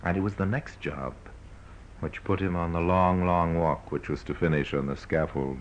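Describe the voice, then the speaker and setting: Actor as deep-voiced crime story narrator. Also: male voice, steady tone, slight background noise, natural voice. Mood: wry, ominous. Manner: measured, wry, storytelling. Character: grave male narrator of true-crime radio tale. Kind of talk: old-time radio crime narration, scripted. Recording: dull old radio recording.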